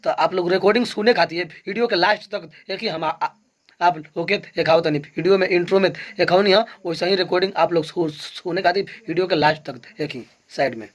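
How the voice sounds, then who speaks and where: A man's voice: sits high, a little fast. A man with a Bhojpuri accent hosting a video channel, giving casual commentary straight to his viewers.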